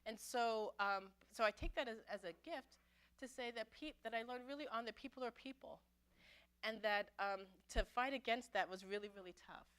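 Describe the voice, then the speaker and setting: A middle-aged woman from Brooklyn, a pastor telling her own story to an adult forum in a conversational, reflective way, often pausing and restarting. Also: fairly high pitch, a bit bright, smooth. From Brooklyn, New York City. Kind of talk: personal testimony talk. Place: church meeting room.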